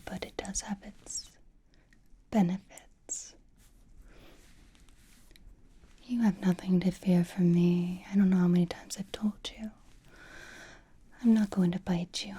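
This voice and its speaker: A seductive, possessive vampire, played by a woman for an ASMR roleplay. The voice is a mellow, lowish, breathy whisper that takes its time. It teases intimately and turns dryly exasperated while staying close and doting. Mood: teasing, seductive. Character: possessive, flirtatious vampire doting on captive vampire hunter.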